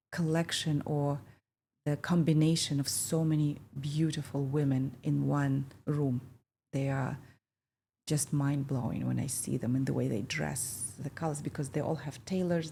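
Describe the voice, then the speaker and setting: A woman in her fifties from Kazakhstan hosting a reflective conversation, talking thoughtfully with many pauses and fillers. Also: sits low, vocal fry, dark tone, slight background noise. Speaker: podcast host.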